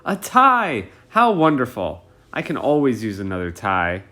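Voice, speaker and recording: A man's voice: medium pitch, huge pitch swings, a little slow, bright, forceful tone, full character voice. A man voicing a kindly, effusive, easily delighted dad in a children's storybook. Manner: exclaiming, effusive.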